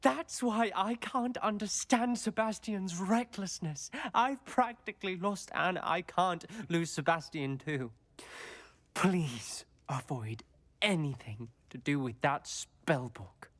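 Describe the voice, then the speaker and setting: A man's voice: sits high, slow pace, fairly bright tone, full character voice. A man voicing a principled, protective teenage schoolboy in a video game, earnest and disapproving. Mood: anxious, pleading. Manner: earnest, pleading. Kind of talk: video game character acting.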